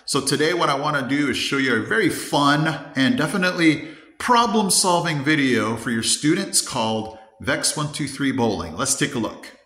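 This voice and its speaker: A man's voice: medium pitch, a bit bright. A man in his fifties, an elementary teacher hosting an upbeat classroom robot demo, counting steps aloud, recorded on a phone held at arm's length. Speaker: elementary teacher, video host. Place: classroom, indoors. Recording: echoey classroom.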